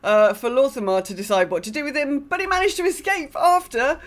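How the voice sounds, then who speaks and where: A woman's voice: high voice, a little fast. A woman co-hosting a podcast, reading a game lore recap in a casual way with dry, sardonic asides. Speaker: podcast co-host.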